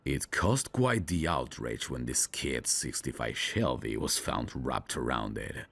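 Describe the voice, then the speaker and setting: A man playing a gruff, world-weary veteran police detective in an audio drama, with a husky, gravelly voice and a sardonic manner. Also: rumbling low, animated, unhurried, fairly bright tone, full character voice. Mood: dry, matter-of-fact. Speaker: detective mentoring a rookie. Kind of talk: scripted roleplay audio drama.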